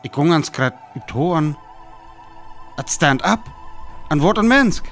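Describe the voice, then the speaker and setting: An actor with a North Frisian accent playing a weary lone survivor of an invasion in a radio play, telling it in sparse, dramatic phrases. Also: male voice, on the high side, expressive, unhurried, fairly bright tone, faint music behind. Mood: startled, tense. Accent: North Frisian (Föhr).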